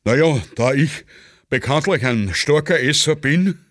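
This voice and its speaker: A self-important Viennese heavy eater, acted by a man for a satirical radio play. He complains pompously, with a lively, swooping melody. The sound is dry and close. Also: fairly high pitch, a little slow, dry recording, voice pushed up. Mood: boastful.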